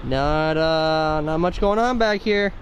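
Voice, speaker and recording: Young male vlogger, laid-back and dry, slow delivery, filmed outdoors on a handheld camera with steady street noise. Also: fairly high pitch, lo-fi sound. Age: young adult. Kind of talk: casual vlog chat. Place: outdoors on suburban streets and trails. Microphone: handheld camera held at arm's length.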